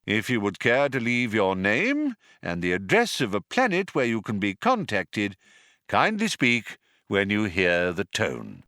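A man as ancient sinister announcer, reedy, hollow, slow formal courtesy, raised pitch, sci-fi audiobook. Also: wildly swooping pitch, bright, forceful tone, no room sound, studio quality, heavily acted. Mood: courteous, menacing. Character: old, courteous, menacing male announcer of a dead planet.

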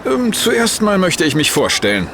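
A man's voice: high-pitched, huge pitch swings, normal speed, fairly bright tone, a little hiss or hum, heavily acted. An actor playing a wealthy, polite businessman who charms a woman he nearly ran over. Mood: charming. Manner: polite, charming, switches into English. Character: wealthy smooth-talking businessman, adult man.

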